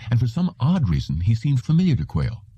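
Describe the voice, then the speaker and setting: A man's voice: medium pitch, wildly swooping pitch, quick pace, mellow, breathy edge, no echo, highs cut off. A man narrating a piece of written fiction as a scripted audiobook.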